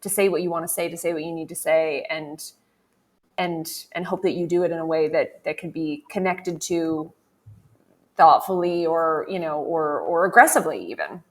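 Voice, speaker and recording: A woman's voice: low voice, moderate pace. A woman hosting a podcast panel, talking casually as a film curator, rambling with many fillers.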